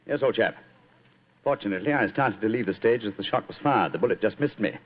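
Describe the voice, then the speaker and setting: Tinny vintage radio sound, commanding English detective, British accent, animated intonation, shrewd, theatrical, assured. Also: male voice, on the low side, fast. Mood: brisk, investigative. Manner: theatrical, crisply authoritative. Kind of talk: old-time radio drama acting. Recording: tinny, band-limited vintage radio recording.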